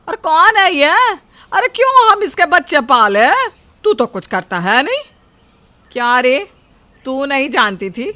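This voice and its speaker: A scolding, greedy cartoon mother-in-law, acted by a woman. Her voice is high, sharp and forceful, swinging widely in pitch. She nags and bosses in a moral-story style. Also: heavily acted. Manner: nagging, bossy.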